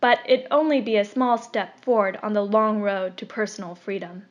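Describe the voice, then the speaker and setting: A woman reading a scripted opinion article aloud in a measured, formal delivery. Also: medium pitch, steady tone. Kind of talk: scripted narration. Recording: dull, lo-fi recording.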